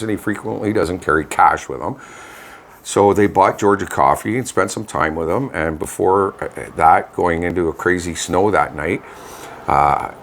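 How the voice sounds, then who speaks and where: A man with a Canadian accent chatting casually as co-host of a local show, talking in a rambling, halting way. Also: deep voice, slight background noise. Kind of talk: casual community chat. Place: home studio.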